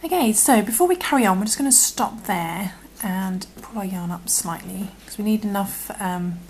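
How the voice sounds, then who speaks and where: A woman with a croaky voice, patiently talking through a crochet tutorial and often dropping to a half-whisper as she works. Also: mid-range voice, normal speed, a little mellow, slight background noise, rough recording. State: croaky from illness. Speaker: crochet instructor. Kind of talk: step-by-step tutorial.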